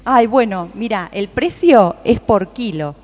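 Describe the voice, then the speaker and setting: A woman playing a cheerful, dedicated home baker in a radio play, chatty and expressive, with an Argentine Rioplatense accent. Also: mid-range voice, unhurried. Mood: friendly, helpful. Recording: very echoey room with long reverb.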